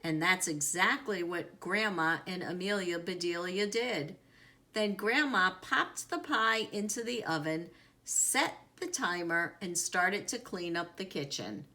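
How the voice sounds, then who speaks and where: Woman, children's storyteller, bright voice, slow deliberate delivery, expressive emphasis. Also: fairly low pitch. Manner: deliberate, animated, with dramatic emphasis. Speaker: storyteller reading a children's book aloud. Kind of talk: expressive read-aloud narration.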